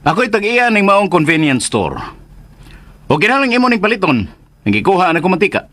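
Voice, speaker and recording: An actor playing an arrogant, strict and hot-tempered store owner in a Filipino radio drama, with a Filipino accent and a haughty, confrontational manner. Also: male voice, high-pitched, sing-song, fairly quick, a bit bright, exaggerated voice. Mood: angry, indignant.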